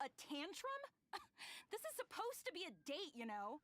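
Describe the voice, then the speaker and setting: Game role: petulant young girlfriend, very high voice, wildly swooping, huffy. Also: huge pitch swings, normal speed, fairly bright tone, dry recording, full character voice. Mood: indignant. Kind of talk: anime-style game voice acting.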